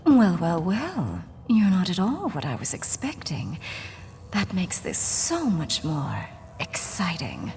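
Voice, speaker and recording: An actress playing an imperious, seductive villainess in a video game, teasing and menacing in a languid manner. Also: female voice, fairly low pitch, huge pitch swings, slow pace, gravelly, noisy background, heavily acted. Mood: amused, curious. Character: seductive villainess enthralling her worshippers, imperious and mocking. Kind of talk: video game character acting.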